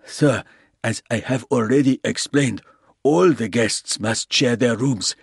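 A man's voice: medium pitch, unhurried, creaky, husky, dry recording, studio quality. A man voicing a huge, polite hotel porter who speaks in a formal, pleading way.

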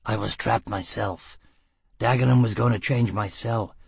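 A man voicing a gruff, blunt, stubborn escaped prisoner in a storybook reading, with terse, grunted lines. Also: medium pitch, a little slow, darkish tone, no echo, full character voice.

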